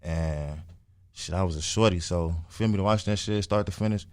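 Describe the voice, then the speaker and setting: Young man, early twenties. Very low voice, dry close sound, slangy and emphatic interview storytelling. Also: normal speed, a little mellow, no room sound. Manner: emphatic, repeats phrases, frequent swearing. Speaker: interview guest, music artist.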